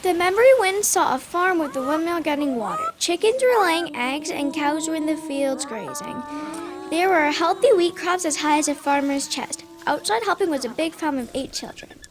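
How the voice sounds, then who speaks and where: A woman's voice: high-pitched, expressive, normal speed. A young female narrator telling a scripted story in a school radio drama. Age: child or young performer.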